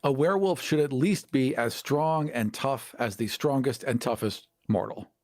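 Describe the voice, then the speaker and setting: A man's voice: medium pitch, moderate pace, darkish tone, no room sound, clean studio sound. A man co-hosting a podcast, casually discussing tabletop games and making emphatic points with repetition. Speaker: podcast co-host.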